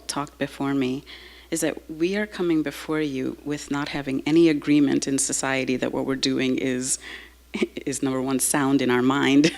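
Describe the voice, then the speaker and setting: A woman giving a slow, halting, heartfelt personal talk to a live audience. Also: rumbling low, creaky, a little mellow. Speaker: speaker sharing a personal story.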